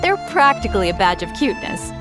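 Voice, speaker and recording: A woman's voice: mid-range voice, big pitch swings, fast, over music, natural voice. A woman playing a cheerful, eager shopkeeper in a video game, gushing and polite. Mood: friendly, selling. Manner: bright sales patter, gushing, polite. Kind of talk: English video game voice acting.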